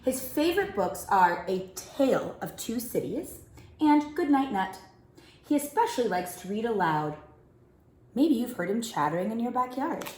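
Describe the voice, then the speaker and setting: Animated woman reading a children's story. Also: medium pitch. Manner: expressive, addressing young children. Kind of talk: children's picture book read-aloud. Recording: roomy, echoing indoor sound.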